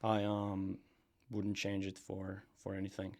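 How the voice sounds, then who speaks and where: A young man from Serbia, a podcast guest and brand founder, chatting casually in a relaxed, rambling way with lots of fillers and restarts. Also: sits low, unhurried. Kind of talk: casual interview chat.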